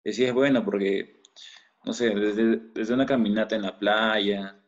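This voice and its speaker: Low-voiced Peruvian man, measured. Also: fairly even intonation, dull audio. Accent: Peruvian Spanish. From Peru. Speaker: musician guest. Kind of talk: casual interview chat.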